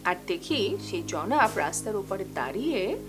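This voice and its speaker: A woman's voice: medium pitch, sing-song, normal speed, close to an everyday voice. An actress playing a sentimental married woman, telling her love story in a girlish, conversational Bangladeshi voice in a TV drama. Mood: fond. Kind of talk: TV drama dialogue, intimate storytelling. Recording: music bed under the voice.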